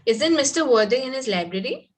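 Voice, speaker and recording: A woman's voice: high-pitched, expressive, fairly quick. A woman reading the part of a naive, romantic young Victorian girl in an amateur play reading, earnest and politely formal. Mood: prim. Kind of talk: amateur play table read.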